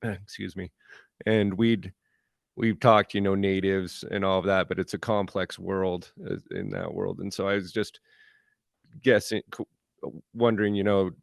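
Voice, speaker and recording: A man with a very deep, gravelly voice asks a question at a work meeting. He stops and restarts as he hunts for words. The room sound is dry and close. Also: steady tone, dry recording. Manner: halting, searching for words. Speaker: meeting participant. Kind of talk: meeting discussion. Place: conference room.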